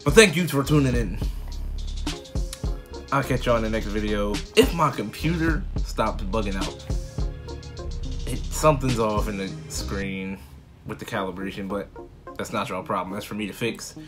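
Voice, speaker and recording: An American man around his thirties giving casual, slangy reaction commentary as a video host, expressive and full of exclamations. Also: fairly low pitch, moderate pace, pauses often, over music. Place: small bedroom indoors.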